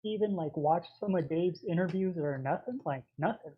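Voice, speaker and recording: Man, phone-in caller, fairly high voice, rambling halting talk, tinny phone line. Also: breathy edge. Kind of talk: casual phone-in chat.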